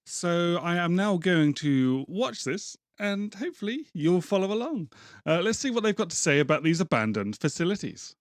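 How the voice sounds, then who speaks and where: A man's voice: sits high, sing-song, normal speed, dry recording, clean studio sound. A man in his thirties casually reacting to a game update video, thinking aloud as he watches, on a headset in a small home room. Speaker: gaming YouTuber reacting to a video.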